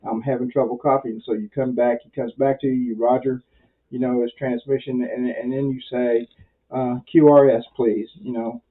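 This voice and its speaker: Muffled man, folksy and halting. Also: medium pitch, level tone. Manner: folksy, rambling, halting with many pauses. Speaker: amateur radio instructor. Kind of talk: informal tutorial for beginners. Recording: very muffled, dull lo-fi audio.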